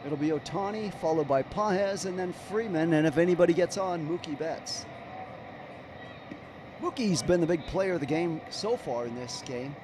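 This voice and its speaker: Slightly hoarse guy calling baseball pitch by pitch, high voice, faint street noise. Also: moderate pace. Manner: describes each pitch as it happens. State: slightly hoarse, clearing throat. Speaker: play-by-play commentator. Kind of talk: live play-by-play commentary.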